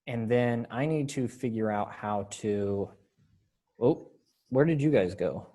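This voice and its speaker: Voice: medium pitch, slow, dark tone, a little hiss or hum. An upbeat, encouraging person casually coordinating a readers theater event over a video call.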